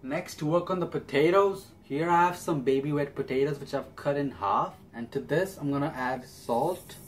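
A man's voice: a little high, moderate pace. Young man explaining a recipe. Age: young adult. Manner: friendly, upbeat instructional tone. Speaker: cooking channel host. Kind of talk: step-by-step cooking instructions. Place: small home kitchen, indoors.

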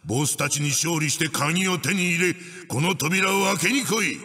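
A man's voice: a little high, animated, normal speed, sharp tone, slightly rough, big-room sound, heavily acted. A man playing an evil wooden mask spirit in a video game, commanding and pompous as he barks out racing instructions. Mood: stern. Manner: commanding, archaic pompous phrasing. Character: evil wooden witch-doctor mask spirit, bossy and menacing.

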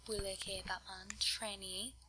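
A woman's voice: mid-range voice, little pitch movement, measured pace, bright, forceful tone. A young woman co-hosting a casual pool game video, giggly and prone to whispered asides. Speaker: gamer co-host. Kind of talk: casual gaming chat.

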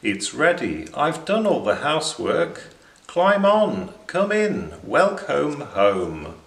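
Earnest storybook bristleworm, voiced by a male reader, coaxing and friendly, sing-song pitch, roomy sound. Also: wildly swooping pitch, takes it slowly, gravelly, fairly bright tone, slight background noise, full character voice. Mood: welcoming. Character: eager, house-proud, friendly bristleworm. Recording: roomy indoor echo.

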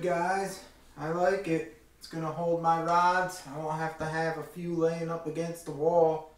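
A middle-aged man giving a casual hands-on demonstration in a folksy, informal, plain-spoken way. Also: fairly high pitch. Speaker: hobby vlogger demonstrating a product. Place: small indoor room at home. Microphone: camera a few metres away. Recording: very echoey room, distant mic, faint noise.

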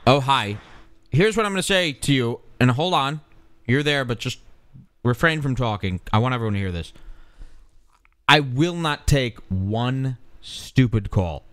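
A man's voice: mid-range voice, animated, a bit bright, no room sound. A man hosting a live radio show from a small studio, bantering sarcastically with co-hosts and callers. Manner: sarcastic, quick to mock callers and chat.

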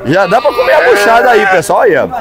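A man, chatting casually as he films a livestock market on a phone, tagging his sentences with quick questions. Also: sits high, wildly swooping pitch, over a noisy crowd. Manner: chatty, friendly, frequent "né?" tags. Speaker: vlogger touring a livestock market. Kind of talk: casual walk-and-talk interviews. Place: busy outdoor goat and sheep market. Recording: crowded outdoor market noise.

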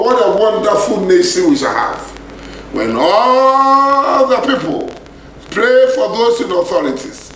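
A man with a Ghanaian accent preaching a radio sermon, repeating scripture lines in a slow, intoning way. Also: high-pitched, a bit bright. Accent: Ghanaian English. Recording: echoey radio broadcast with faint hiss.

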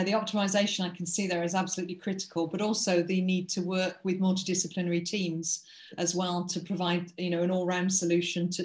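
A woman's voice: medium pitch, echoey room. A woman in her fifties from the UK chairing an interview over a video call, asking questions in an encouraging, conversational way. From United Kingdom. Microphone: computer mic on a video call.

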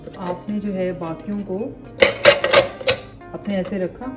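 A woman's voice: medium pitch, steady tone, slow pace, over loud music. A young woman, chatting casually to her phone camera for a vlog and mixing in English phrases. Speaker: vlogger. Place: outdoors on a bus in city traffic. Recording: loud traffic noise behind.

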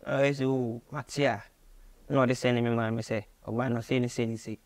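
A man's voice: medium pitch, steady tone, normal speed. A Ghanaian man in his twenties with a Ghanaian accent, chatting casually as a studio guest in mixed Twi and English. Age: 20s. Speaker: interview guest, musician. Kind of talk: casual chat, switching between Twi and English. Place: small studio office, indoors.

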